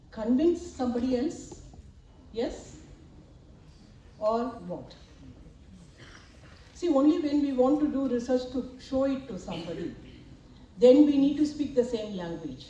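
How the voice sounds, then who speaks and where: A woman's voice: a little high, dark tone, lo-fi sound. A middle-aged woman with an Indian English accent giving an academic talk, emphatic and asking rhetorical questions. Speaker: lecturer, researcher. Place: lecture hall. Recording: echoey hall, far from mic, faint noise.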